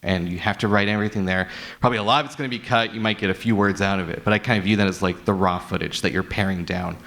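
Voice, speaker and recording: Male panelist, lowish voice, earnest and rambling, unscripted, leans on repeated words for emphasis. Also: steady tone, fast, vocal fry, fairly bright tone. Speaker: audio drama creator and panelist. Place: live convention panel. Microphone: wired panel microphone.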